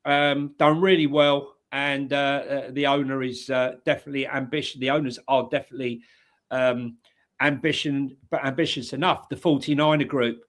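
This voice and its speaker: A man's voice: mid-range voice, flat delivery, normal speed, fairly bright tone. A man co-hosting a casual football chat show, rambling enthusiastically with lots of fillers. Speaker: co-host.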